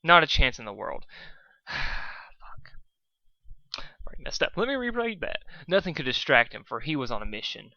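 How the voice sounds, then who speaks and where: A man's voice: medium pitch, very animated, moderate pace, pauses often, pushed and bright, no room sound, highs cut off. A man, an amateur reader who talks casually and stumbles in a self-deprecating way. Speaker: amateur fan fiction reader. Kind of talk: casual rambling introduction and informal story reading.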